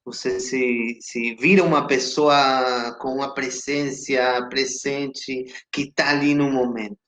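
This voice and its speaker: A Chilean man, a native Spanish speaker with a Chilean Spanish accent, talking reflectively as a guest actor on a video call, halting and restarting often. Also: medium pitch, steady tone, fairly bright tone. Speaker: guest actor and theater director. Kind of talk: casual interview chat. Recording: roomy echo.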